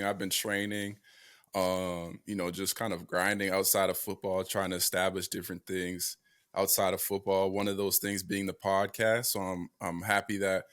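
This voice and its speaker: Low-voiced man hosting a sports podcast. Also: fairly bright tone. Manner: hesitant, many fillers and restarts. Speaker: podcast host. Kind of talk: casual sports podcast chat.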